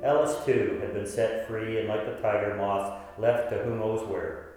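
A man's voice: on the low side, steady tone, moderate pace, lightly acted. A man acting a wistful storyteller in an echoing hall. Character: gentle man named Paul, wistfully remembering a dying woman. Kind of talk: narrated radio play. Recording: echoey hall, distant, music underneath.

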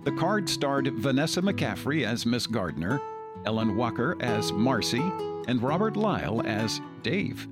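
An older male podcast host delivers scripted narration. His pitch is mid-range, and guitar music plays clearly behind him. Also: very animated, measured pace.

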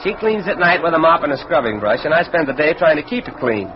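A muffled old radio recording with some hiss carries a kindly, flustered department store manager. His voice is fairly high and swoops about, eager and halting in vintage radio comedy style. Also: very animated. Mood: jovial. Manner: dreamy, halting, eager, apologetic. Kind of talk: 1940s radio comedy-drama acting.

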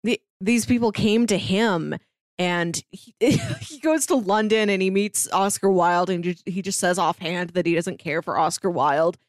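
A woman's voice: medium pitch, big pitch swings, a little slow, dry recording, clean studio sound. A woman co-hosting a podcast, casually retelling and commenting on a story in everyday conversational speech. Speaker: podcast co-host.

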